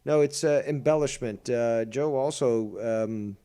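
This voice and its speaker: A man's voice: mid-range voice, a little slow, warm tone, smooth. A man hosting a livestream, talking in a combative, mocking and profane way. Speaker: streamer host. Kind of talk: livestream commentary.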